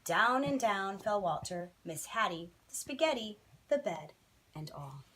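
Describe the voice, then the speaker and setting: A woman's voice: medium pitch, expressive, unhurried, bright, forceful tone, a little hiss or hum, rough recording. A woman teacher reading a children's bedtime story expressively, with dramatic, emphatic phrasing.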